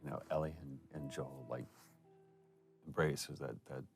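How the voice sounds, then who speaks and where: Very deep, gravelly man in casual commentary. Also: flat delivery, moderate pace, soft background music.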